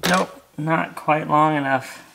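A man's voice: on the high side, steady tone, slow, vocal fry. A middle-aged American man giving a casual, easygoing hands-on tutorial, talking as he works inside a parked car. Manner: easygoing, talking while working with his hands. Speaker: host of a car accessory install video. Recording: faint background noise and clicks.

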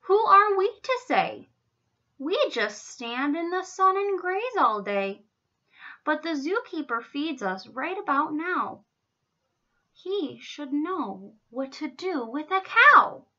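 Woman voicing a high, friendly storybook zebra. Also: very animated, slow pace, bright, forceful tone, lo-fi sound, exaggerated voice. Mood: friendly, helpful. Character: kindly, easygoing zebras.

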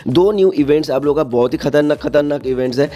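Male gaming host, slightly high-pitched and very quick, casual explainer delivery with repeated check-in tags. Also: mellow. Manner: repetitive, uses frequent check-in tags and English loanwords. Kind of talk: casual explainer narration over game screens.

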